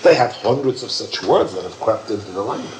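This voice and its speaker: Talkative man answering questions, low voice, emphatic and kinda repetitive, noisy recording. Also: animated, brisk. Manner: warm, emphatic, repeats himself. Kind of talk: informal Q&A lecture.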